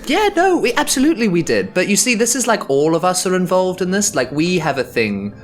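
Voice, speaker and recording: Animated New Zealand man at game table. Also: sits high, a little fast, soft background music. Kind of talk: casual in-character improvised chat.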